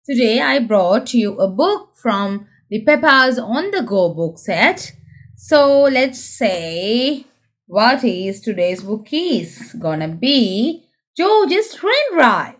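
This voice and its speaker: A woman's voice: a little high, very animated, slow pace. A woman hosting a children's read-aloud, telling picture-book stories in a sing-song, exaggerated way for little listeners. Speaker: host reading children's picture books aloud. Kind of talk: animated read-aloud storytelling for young children.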